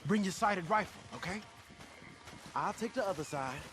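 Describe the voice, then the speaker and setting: An actor playing a young, easygoing male outlaw in a game cutscene, with a relaxed drawl. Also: high voice, normal speed, background noise. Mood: hushed. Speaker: game character. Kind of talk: cutscene voice acting. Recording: game audio with loud music.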